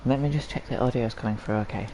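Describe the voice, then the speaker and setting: A man with a cold, hoarse and phlegmy, rambling through casual gameplay commentary and clearing his throat now and then. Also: mid-range voice, quick pace, mellow, a little hiss or hum, poor audio. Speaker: gaming channel host. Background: video game music.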